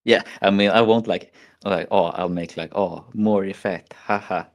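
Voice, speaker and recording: Very low-voiced Swedish man chatting. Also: fairly even intonation, moderate pace. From Sweden. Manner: rambling, mocking, frequent "like" and "you know". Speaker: streamer. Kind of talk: casual chat.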